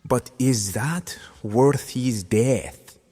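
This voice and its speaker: A man playing a grave, concerned older brother of noble birth in an audio drama, solemn and measured. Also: medium pitch, mellow. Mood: grave, resigned. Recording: long hall reverb.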